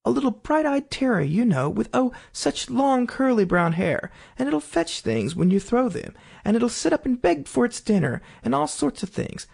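Woman as an eager, high-pitched storybook little girl. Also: expressive, moderate pace, creaky. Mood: eager chatter. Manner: earnest, eager, apologetic. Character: polite, eager, chatty little girl, quick to apologise.

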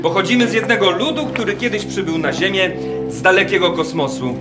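An actor in a radio play voicing a condescending German camp officer who collects birds, halting and musing between commands. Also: male voice, sits high, lightly acted. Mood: lecturing. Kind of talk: radio drama acting. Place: wooden barn, live performance. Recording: roomy barn sound with music behind. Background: music.

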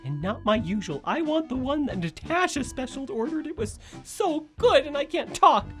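High-voiced, animated woman voice actor. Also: normal speed. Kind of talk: recording scripted lines, breaking off at slips. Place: small recording studio. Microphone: studio mic, headphones. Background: music.

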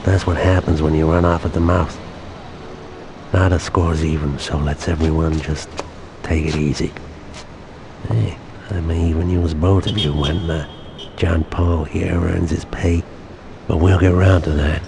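Super deep mob boss, calm, halting, quietly threatening, video game, noisy background. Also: male voice, creaky. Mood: calm menace. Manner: halting, unhurried, understated menace. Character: laid-back male crime boss, calm and quietly threatening.

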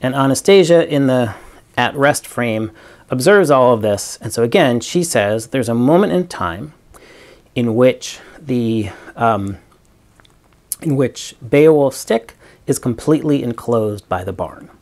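A man's voice: medium pitch, lively intonation, a little slow, darkish tone. A man in his fifties, a physics professor recording a video lecture in a small room, explaining with toy props and thinking aloud with ums. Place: small room in front of a green wall.

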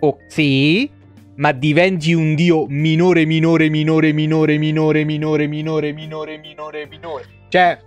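Higher-pitched young man chatting on a stream. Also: little pitch movement, takes it slowly, soft background music. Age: young adult. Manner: informal, rambling, profane; repeats words for emphasis. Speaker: game streamer. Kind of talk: casual livestream chat. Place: small home room. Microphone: close desk microphone.

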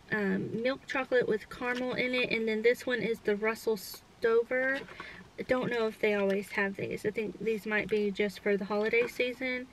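A woman's voice: fairly high pitch, pushed and bright, slight background noise, low-quality recording. A woman in her thirties with a Southern US accent, chatting casually about her shopping haul in a parked car. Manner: chatty, friendly, addresses viewers as y'all. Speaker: vlogger. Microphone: camera on dashboard.

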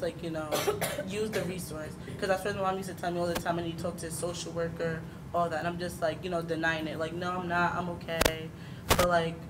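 A young American woman speaking candidly and informally as a panelist, haltingly, with lots of "like", into a handheld mic in a meeting hall. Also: low voice. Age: young adult. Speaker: panelist, young mother sharing experience. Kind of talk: candid personal testimony at a panel. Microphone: handheld microphone. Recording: handheld mic, noisy room.

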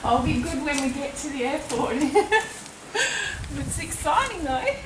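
A woman's voice: high voice, big pitch swings, a little slow. A middle-aged woman with an Australian accent, chatting casually as a travel companion. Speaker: vlogger's mother, travel companion. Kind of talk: casual chat. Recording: loud car and travel noise.

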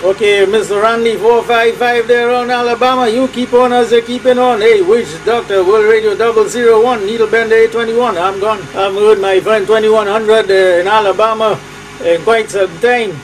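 A man's voice: high-pitched, steady tone, normal speed, a little hiss or hum, poor audio. A man with a Trinidadian accent making hearty, repetitive radio calls as an operator, in patter full of call signs. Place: small cluttered room at home.

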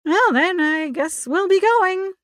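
A man's voice: very high-pitched, animated, takes it slowly, fairly bright tone, no echo, clean studio sound, voice pushed up, full character voice. A man voicing a folksy, plain-spoken male spokesman who bargains insistently, in an audiobook. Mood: let down. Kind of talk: audiobook character acting.

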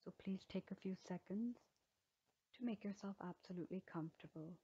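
A woman yoga teacher leading a guided healing meditation in a slow, soothing way with gentle pauses. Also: mid-range voice, breathy edge, dull audio.

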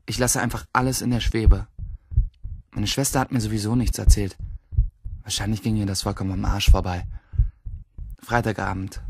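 A man acting a stressed, secretive teenage schoolboy narrating his own life in a radio play, with a husky, gravelly voice. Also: lowish voice, fairly even intonation, normal speed, long pauses, slight background noise. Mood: brooding, secretive. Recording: dry studio, faint music behind.

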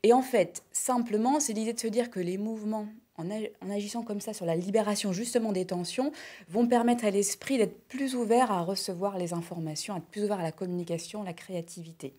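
A woman in her thirties, a health and wellness columnist on a morning TV show, explaining and demonstrating simple exercises in a clear, upbeat way, wearing a lapel mic in a bright studio. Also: medium pitch, a little fast. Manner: clear, upbeat explainer, lists items with steady rhythm.

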